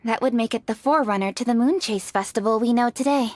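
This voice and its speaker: A woman playing a brisk, earnest young official. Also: on the high side, close to an everyday voice. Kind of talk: video game voice acting.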